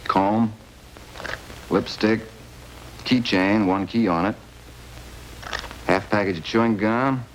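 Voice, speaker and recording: A methodical police lab technician with a low voice who lays out the evidence in plain, even lines. Faint hiss sits under the old radio recording. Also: male voice, takes it slowly, pauses often, poor audio. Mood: matter-of-fact. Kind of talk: 1950s radio crime drama.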